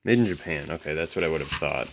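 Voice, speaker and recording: A man's voice: extremely low pitch, fast, creaky, slight background noise. A man giving casual, off-the-cuff hands-on commentary as a hobbyist video host, musing and thinking aloud as he goes.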